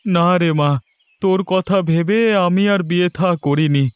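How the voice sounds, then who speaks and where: A man's voice: sits high, a little slow, smooth, no room sound. A man voicing a poor, tender, sorrowful widowed village father in a cartoon story. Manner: tender, lamenting. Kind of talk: Bengali cartoon story dialogue.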